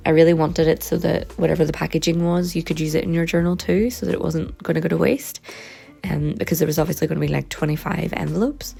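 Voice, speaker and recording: A woman chatting casually in a vlog, her voice slightly hoarse and croaky, rambling in a relaxed way with frequent ums. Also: deep voice, quick pace. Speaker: vlogger and sticker and journal maker.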